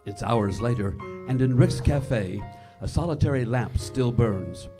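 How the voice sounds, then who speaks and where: A man with a mid-pitched voice narrates a scripted radio drama in 1940s announcer style. Music plays clearly behind the voice. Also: animated, a little slow. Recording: live stage show with clear music.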